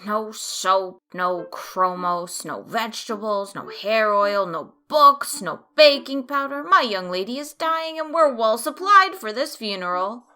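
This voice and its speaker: Woman playing a bright, brusque mansion butler. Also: a little high, animated, unhurried, hardly any pauses, clean tone, soft background music. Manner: brusque, emphatic, clipped. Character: curt, officious butler of a grand mansion. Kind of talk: theatrical audio drama acting.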